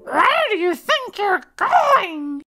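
A woman's voice: extremely high pitch, very animated, takes it slowly, voice pushed up, heavily acted. A woman voicing a screechy, chatty blue jay in a children's story, with music in the recording. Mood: bossy, screechy.

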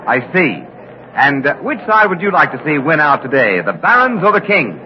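A man's voice: fairly high pitch, sing-song, moderate pace. An American man as a radio news reporter, giving formal, polite, descriptive commentary in 1950s style. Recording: dull, noisy vintage radio recording.

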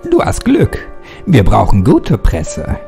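A man voicing a sinister, commanding undead metal singer with a croaky voice in a horror story. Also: on the low side, wildly swooping pitch, slow pace, over music, exaggerated voice. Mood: sly. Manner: commanding, menacing.

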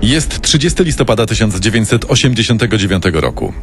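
Dramatic male true-crime narrator, lively intonation. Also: on the low side, fast, faint music behind. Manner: dramatic storytelling in present tense.

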